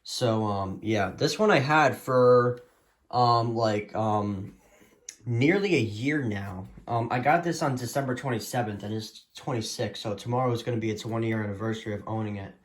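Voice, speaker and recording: A man's voice: mid-range voice, moderate pace. A man giving a casual, unscripted show-and-tell as a hobbyist, speaking hesitantly and often trailing off. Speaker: hobbyist collector presenting a radio collection.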